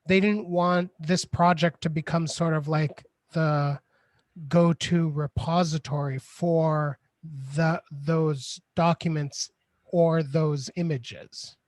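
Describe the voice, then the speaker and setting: Higher-voiced male co-host on a video call, casual and filler-heavy, dry close sound. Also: steady tone, slow, dry recording. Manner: loose, filler-heavy "like", "you know", "right?". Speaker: librarian and co-host. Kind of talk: casual live-stream discussion.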